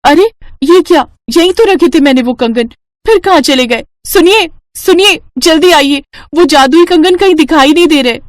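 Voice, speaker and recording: A woman's voice: high voice, moderate pace, no echo, voice pushed up, close to an everyday voice. A woman voicing a caring, cautious mother in a cartoon story, speaking earnestly and protectively. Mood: alarmed. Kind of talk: cartoon voice acting.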